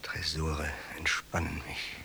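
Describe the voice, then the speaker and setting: Radio drama: enigmatic armed rich man, low register, clipped formal menace, slight background noise. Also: completely flat delivery, takes it slowly, sharp tone, exaggerated voice. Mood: cool, detached. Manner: cool, formal, cryptic, clipped answers. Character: rich, enigmatic armed host toying with his captive guest. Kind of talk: German radio drama acting.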